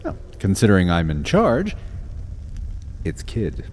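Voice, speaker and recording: An actor plays a blunt, bossy male fantasy leader. He talks slowly with varied pitch, faint ambient noise behind him. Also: huge pitch swings, darkish tone, heavily acted. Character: blunt, bossy man, self-declared leader. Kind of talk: fantasy audio drama acting.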